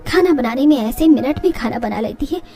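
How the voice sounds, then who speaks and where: Middle-aged mother-in-law, voiced by a woman, boastful yet agreeable, slightly lowered voice, storybook drama. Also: expressive, a little fast, mellow, soft background music. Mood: proud. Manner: boastful, obliging.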